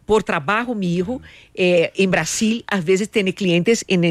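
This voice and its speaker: Middle-aged Brazilian guest, Portuguese-accented, lively storyteller, dry studio mic. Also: female voice, mid-range voice, measured pace, no room sound. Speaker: radio guest panelist. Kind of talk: casual radio panel chat.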